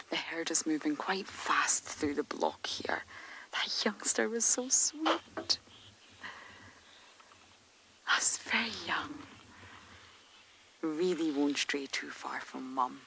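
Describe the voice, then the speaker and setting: Lady safari guide, quiet and hushed, lowish voice, faint bush noise behind. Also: animated, slow pace, vocal fry, pauses often. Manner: soft-spoken, often hushed. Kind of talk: live wildlife commentary. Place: open game drive vehicle outdoors.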